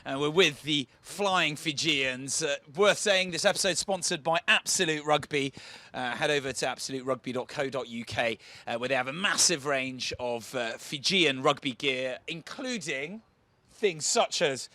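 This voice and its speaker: A man hosts a casual rugby podcast, his voice sitting a little high. He jokes around and stumbles through his lines with plenty of filler words. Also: moderate pace, sharp tone, no echo. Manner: jokey, hesitant with filler words. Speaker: podcast host. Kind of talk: casual chat.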